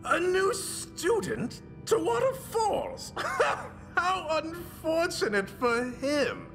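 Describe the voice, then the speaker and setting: An actor playing an imperious, gleeful villain boss in a video game, with theatrical, drawn-out emphatic delivery over music. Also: high-pitched, creaky, fairly bright tone, full character voice. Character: scheming villainous boss plotting super soldiers, imperious, gleeful.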